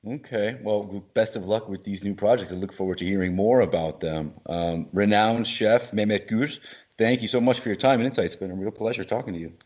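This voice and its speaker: A man's voice: on the low side, a little fast, a little mellow. A man hosting a podcast interview, asking questions in a conversational style.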